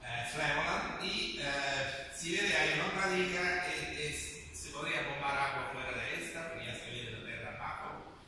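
An older man from Italy with an Italian accent, giving a seminar lecture in halting speech, searching for words and chuckling now and then. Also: fairly high pitch, bright, forceful tone. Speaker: guest lecturer. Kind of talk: academic seminar talk. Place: classroom hall with projector screen. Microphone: far camera in the audience. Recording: echoey room, distant, noisy camera.

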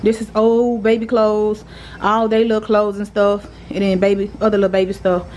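A woman's voice: mid-range voice, completely flat delivery, moderate pace, a little mellow, slight background noise. A young woman with a Southern US accent, a single mother chatting loosely through a home cleaning vlog and scolding her kids. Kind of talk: casual home vlog chat. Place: apartment living room and kitchen, indoors. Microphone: handheld phone camera.

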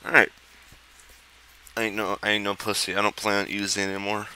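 A man's voice: fairly low pitch, completely flat delivery, quick pace, sharp tone, slight background noise, rough recording. A man giving casual, offhand, profane let's play commentary on a video game. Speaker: gamer commentating a playthrough.

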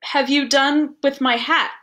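A woman's voice: high voice, fairly even intonation, unhurried, sharp tone, voice pushed up. A woman voicing a wary father in a storybook reading, polite but insistent and a little impatient.

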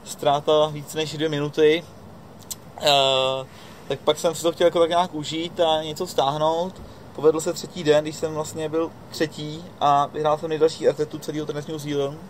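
Halting young man, fairly even tone. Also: on the high side, a little hiss or hum. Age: 20s. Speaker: mountain bike racer recapping a race. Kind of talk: casual vlog recap. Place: outdoor wooden deck in the mountains.

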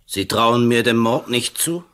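A man's voice: medium pitch, unhurried, fairly bright tone, close to an everyday voice. A man playing a vain, prickly writer in a radio play, curt and sardonic in manner. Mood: mocking. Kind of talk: 1980s radio play acting.

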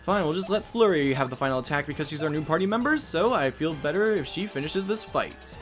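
A man's voice: fairly high pitch, expressive, fairly quick. A man giving casual gameplay commentary as the host of a let's play. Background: game music.

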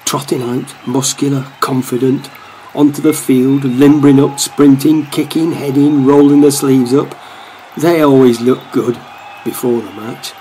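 An actor playing a wistful middle-aged football supporter, musing to himself in a radio play. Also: male voice, medium pitch, little pitch movement, measured pace, warm tone, slight background noise, lightly acted, poor audio. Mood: admiring. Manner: reflective, talking to himself.

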